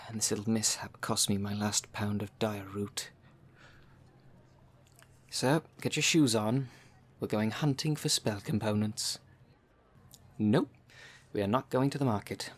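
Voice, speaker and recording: A man acting a brisk, wry city witch in an ASMR roleplay audio drama, talking in a dry, instructive way. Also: lowish voice, lightly acted. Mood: brisk, worried, then wry, firm.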